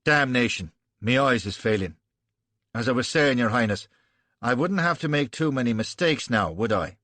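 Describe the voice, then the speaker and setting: A man voicing a gruff, blunt old Irish general with a thick brogue, reading a story aloud. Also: medium pitch, lively intonation, moderate pace, sharp tone, no room sound. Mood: wry.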